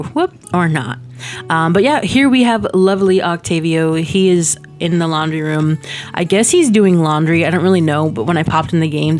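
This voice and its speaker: Low-voiced woman with a stuffy nose. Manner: rambling, many fillers, self-laughing asides. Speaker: Sims building channel host. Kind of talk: casual chatty gameplay commentary. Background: soft background music.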